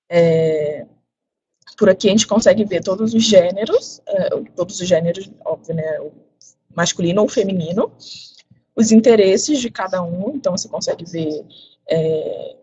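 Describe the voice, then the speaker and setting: A woman demonstrating a software platform on a webinar screen share, reading out lists in an explanatory, casual way. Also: mid-range voice, normal speed. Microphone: video call. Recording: dull lo-fi audio.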